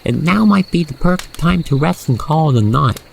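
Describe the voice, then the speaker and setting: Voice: mid-range voice, lively intonation, moderate pace, gravelly. A narrator telling a second-person ASMR roleplay story in a wordy, playful storybook manner. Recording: faint background noise, cricket night ambience.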